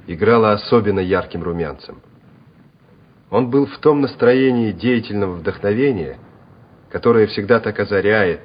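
A man's voice: fairly low pitch, a little hiss or hum. A man reading scripted dramatic narration for a war radio drama. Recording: dull vintage radio recording with music.

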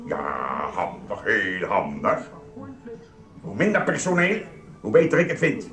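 An actor playing a grumpy, officious supermarket security guard in a radio play, brusque, suspicious and lecturing. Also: male voice, lowish voice, huge pitch swings, a little slow, fairly bright tone, heavily acted. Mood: sarcastic, scheming. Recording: roomy echo, music behind.

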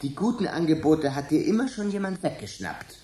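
A man's voice: on the high side, very animated, a little fast, dark tone. An actor playing a dry, sardonic male friend in a radio drama, teasing his hosts. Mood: wry. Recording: roomy studio, faint hiss.

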